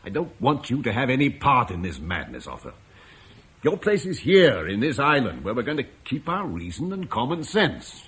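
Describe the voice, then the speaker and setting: A grave middle-aged Roman-British father for a classic radio drama. His voice is husky and moves a lot in pitch, and he speaks with weight. He sounds earnest and steady. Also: moderate pace, exaggerated voice. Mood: impassioned. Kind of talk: 1950s British radio play acting.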